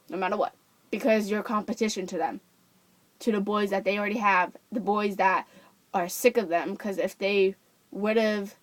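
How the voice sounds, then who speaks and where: A woman's voice: medium pitch, dry recording. A young woman from Japan, chatting casually into a camera and ranting, full of fillers and restarts. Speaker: vlogger.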